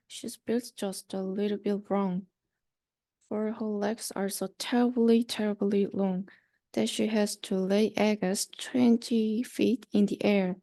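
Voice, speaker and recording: A woman's voice: medium pitch, creaky, dark tone, no room sound. A young woman reading a rhyming children's storybook aloud. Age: young adult. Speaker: student reader.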